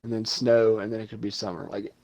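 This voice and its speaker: A man's voice: lowish voice, level tone, quick pace, darkish tone. A young man from Ohio chatting casually on a podcast, rambling and swearing freely. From Ohio, USA. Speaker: podcast co-host. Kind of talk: casual chat.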